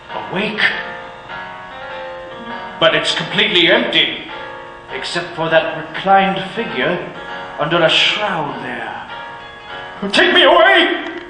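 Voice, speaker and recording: An actor playing an older male miser who is frightened and pleading, in a radio drama. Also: high-pitched. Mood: dread. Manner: anguished, rising to desperate cries. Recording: very roomy reverb, faint music.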